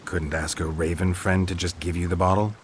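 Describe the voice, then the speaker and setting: A man acts a gruff, laconic monster hunter in a fantasy video game. His voice sits very low and stays deadpan, with dry wit in short, clipped phrases. Faint noise runs behind it. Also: fairly quick, lightly acted. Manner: deadpan, terse. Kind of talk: video game character dialogue.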